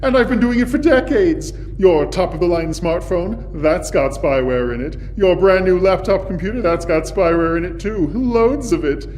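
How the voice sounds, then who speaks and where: A high-pitched, grandiose male supervillain, acted by a man holds forth with pompous theatrical flair. He is a gloating comic film-serial schemer, heard over faint noise. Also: animated, normal speed, hardly any pauses, a little mellow. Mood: scheming, boastful.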